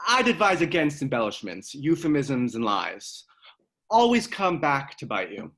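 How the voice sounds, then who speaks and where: A man's voice: mid-range voice, measured pace, full character voice. A man playing a blunt, dryly condescending job counselor in a script table read. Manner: deadpan, blunt.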